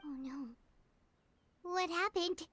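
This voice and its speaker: A woman voicing a tiny childlike game companion, curious and wide-eyed, with a squeaky voice. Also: slow, fairly bright tone, slight background noise, full character voice. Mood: worried. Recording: game audio with prominent music.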